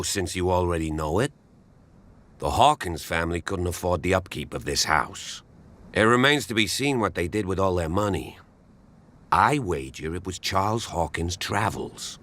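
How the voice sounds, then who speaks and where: An actor playing a gruff, guarded small-town policeman in a video game, speaking in a measured, folksy, wary way. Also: sits low, a bit bright. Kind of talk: scripted game dialogue.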